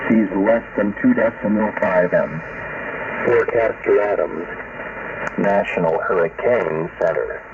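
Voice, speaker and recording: Slow low man on crackly shortwave. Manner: mechanical, list-like reading of coordinates. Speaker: marine weather broadcast voice. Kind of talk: automated marine forecast reading. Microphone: received over shortwave radio. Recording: tinny shortwave radio with static.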